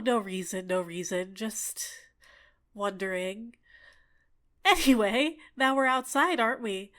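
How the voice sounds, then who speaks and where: A woman voicing a bubbly, clingy fairy with a sweetly menacing edge in an ASMR roleplay, talking in an excitable, coy, chatty way. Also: a little high. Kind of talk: ASMR roleplay, scripted character acting.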